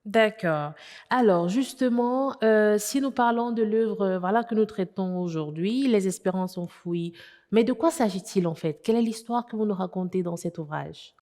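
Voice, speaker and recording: A woman's voice: medium pitch, normal speed, dark tone, long reverb tail. A woman hosting a TV interview, introducing a guest and asking rambling questions full of fillers. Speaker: interviewer host.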